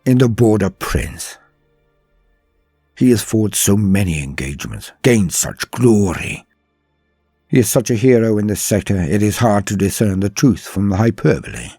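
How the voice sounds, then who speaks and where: Male narrator for dark space-war fiction: low-pitched, slightly husky, solemn and theatrical, dry sound with faint music. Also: animated, no room sound. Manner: solemn, theatrical, deliberate pauses. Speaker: storyteller and narrator. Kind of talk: dramatic scripted story narration.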